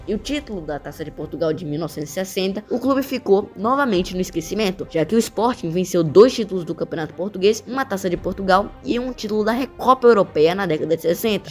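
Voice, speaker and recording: A woman with a Brazilian accent reading a scripted sports history narration with casual asides. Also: medium pitch. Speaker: narrator of a football history video. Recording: voice over background music. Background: music.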